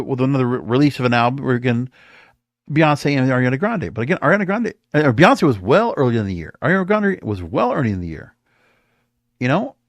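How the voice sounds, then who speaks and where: Male podcast host, opinionated and rambling, very lively intonation, close dry sound. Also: on the high side, dry recording. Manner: emphatic, rambling, repeats himself. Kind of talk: opinionated solo podcast commentary.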